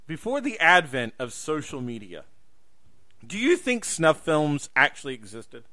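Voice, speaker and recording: Bright, forceful man podcasting. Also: on the high side, very animated, measured pace. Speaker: podcast host. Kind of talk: casual podcast conversation.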